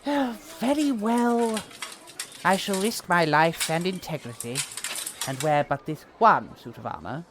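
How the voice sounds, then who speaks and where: A man's voice: sits high, expressive, a little slow, noisy background. A man playing a pompous, gung-ho medieval knight who speaks in mock-archaic style in a comic audio drama. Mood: pompous, haughty.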